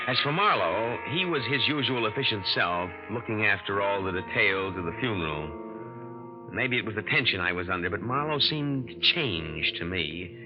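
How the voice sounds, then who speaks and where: A man's voice: lowish voice, lightly acted. An actor playing a greedy, defiant young impostor with an American accent, talking in slangy, cynical tough-guy style in a 1940s radio drama. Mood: brooding narration. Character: hard-boiled, greedy young male impostor, defiant and self-justifying. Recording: dull old radio recording with music.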